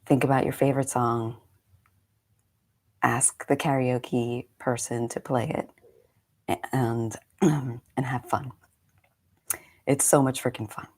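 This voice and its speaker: Slow, very low-voiced congested woman. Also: steady tone, darkish tone, slightly rough. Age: 40s. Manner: chatty and rambling, with friendly asides. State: slightly congested. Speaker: meditation coach. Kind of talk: casual live-stream chat.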